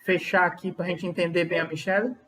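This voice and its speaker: A man's voice: sits high, little pitch movement, a little fast, bright, forceful tone. A man from Bahia with a Bahian accent, hosting a podcast and asking questions in a chatty, easygoing way. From Bahia, Brazil. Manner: chatty, asks questions, thinks aloud. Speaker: podcast host. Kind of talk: casual interview.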